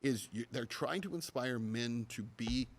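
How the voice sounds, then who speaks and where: A middle-aged male host who speaks in a measured, emphatic way. He builds his points with rhetorical questions and pointed repetition. His pitch is at a middling level for a man. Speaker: podcast host, journalist. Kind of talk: conversational interview commentary.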